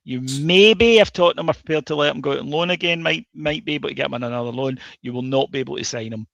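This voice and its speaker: A man's voice: a little high, fast, creaky, a bit bright, dry recording. A Scottish man co-hosting a football podcast, chatting casually with lots of fillers and restarts. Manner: hesitant, many fillers and restarts. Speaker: podcast co-host. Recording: dull dry lo-fi sound.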